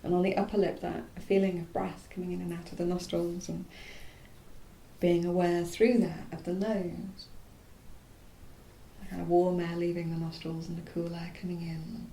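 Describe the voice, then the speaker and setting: A woman leads a guided body relaxation in a mellow voice with a gravelly creak. She speaks softly and soothingly, leaving pauses mid-phrase and letting endings go breathy. The room is echoey. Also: flat delivery. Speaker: yoga nidra teacher. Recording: roomy echo, faint low rumbling noise.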